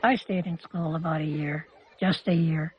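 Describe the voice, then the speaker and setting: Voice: mid-range voice, fairly even intonation, normal speed, a faint crowd. An older speaker recalling their life in plainspoken, short, reflective phrases. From Canada. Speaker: interviewee recalling childhood. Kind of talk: personal oral-history storytelling. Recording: dull lo-fi audio under music. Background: music.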